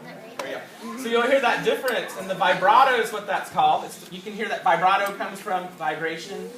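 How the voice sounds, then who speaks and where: A man's voice: sits high, a little fast, a crowd in the background. A man music teacher explaining to a class how the voice works, demonstrating by singing. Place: school classroom. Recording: echoey classroom from far off with loud noise.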